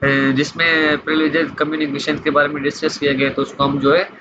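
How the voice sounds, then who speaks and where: A man's voice: on the high side, little pitch movement, a little fast, music in the background. A man giving an explanatory law lesson, reading statutes aloud and explaining them in a repetitive, question-and-answer way. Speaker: law lecturer. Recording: dull lo-fi mic with light background noise.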